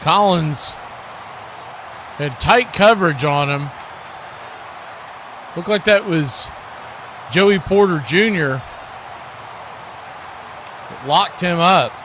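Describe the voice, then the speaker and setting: A man with a high, forceful voice calls a football game play by play as a live announcer. There is a clear layer of noise behind him. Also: extremely slow, pauses often.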